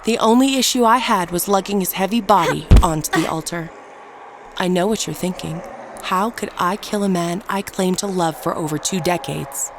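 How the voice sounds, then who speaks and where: A woman playing a ruthless, unrepentant ageless murderess, narrating her tale in a measured, dramatic audio drama style. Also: lowish voice, background noise, lightly acted. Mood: cold, gloating. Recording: narration over prominent background music. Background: music.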